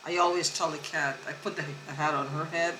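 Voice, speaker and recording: A woman talking to camera in a rambling personal monologue, halting, restarting and repeating words, earnest and insistent. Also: deep voice, fairly even intonation, background noise. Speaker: vlogger. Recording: echoey room recording.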